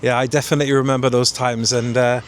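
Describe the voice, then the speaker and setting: A man's voice: mid-range voice, little pitch movement, fast, slight background noise. A man, a coach interviewing his client in a conversational style.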